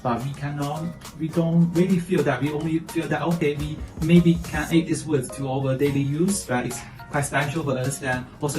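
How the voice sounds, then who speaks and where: Halting man over background music. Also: mid-range voice. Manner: halting, many fillers and restarts. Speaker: interviewee. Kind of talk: casual interview answer. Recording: echoey room with music underneath.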